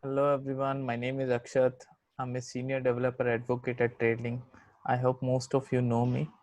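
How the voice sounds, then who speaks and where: A man's voice: medium pitch, monotone, fairly quick, a little mellow, dry recording. A man working as a developer advocate giving a confident self-introduction on a video call.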